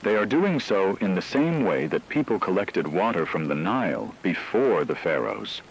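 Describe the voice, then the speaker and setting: A man reading a scripted emergency broadcast in a grave, measured way, breaking it into short phrases. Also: sits low, very animated, a little hiss or hum, poor audio. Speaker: radio broadcast narrator. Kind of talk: scripted narration, emergency news-broadcast style.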